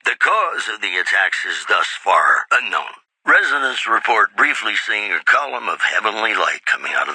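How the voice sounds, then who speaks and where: An actor playing a suave radio DJ who reads wasteland news in a polished, measured announcer style for a video game. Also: male voice, sits low, sharp tone, slightly rough, no echo, heavily acted, poor audio. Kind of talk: scripted radio news bulletin.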